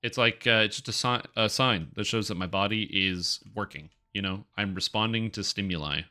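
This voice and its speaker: Congested, bright-voiced man streaming a game. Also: fairly low pitch, moderate pace. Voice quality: congested, nasal. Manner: rambling, talks to himself and viewers. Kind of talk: casual live-stream chat while gaming. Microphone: close microphone.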